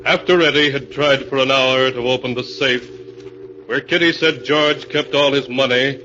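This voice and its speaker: A man's voice: medium pitch, steady tone, normal speed, bright, forceful tone. A man narrating a scripted story in dramatic old-time radio style. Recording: dull, noisy old radio recording.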